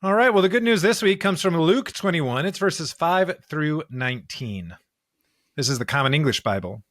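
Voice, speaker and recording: A man's voice: a little high, animated, normal speed, a bit bright. An American man co-hosting a church podcast, chatting casually and reading scripture. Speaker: podcast co-host and pastor.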